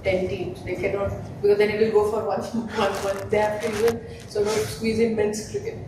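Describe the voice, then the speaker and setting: A woman, speaking as a guest in a casual conversation in a measured, explanatory way. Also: medium pitch, little pitch movement. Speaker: guest, former cricket captain. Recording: distant mic, very echoey room, background noise.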